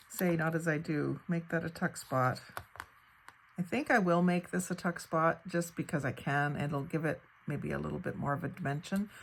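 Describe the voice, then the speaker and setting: A woman speaks low and gravelly, her voice a little crackly and hoarse from a cold. She talks through a paper craft project in an unhurried, chatty way, musing aloud as she cuts and glues. A faint hum of room ambience sits under her voice. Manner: thinks aloud while working, unhurried and chatty. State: slightly hoarse, fighting off a cold. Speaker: craft channel host. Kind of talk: casual crafting tutorial narration.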